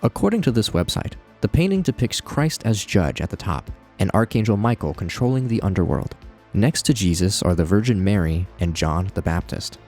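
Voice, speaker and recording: A man's voice: fairly low pitch, big pitch swings, normal speed, a little mellow, soft background music. A man hosting a video essay, narrating in a conversational commentary style with wry asides.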